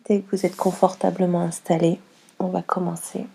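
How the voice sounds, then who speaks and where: A woman guides a relaxation session in a soothing, unhurried way. Her voice is heavily creaky and soft, with a husky breathiness. The delivery stays very even, leaving long pauses between short instructions. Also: on the low side. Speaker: yoga nidra guide.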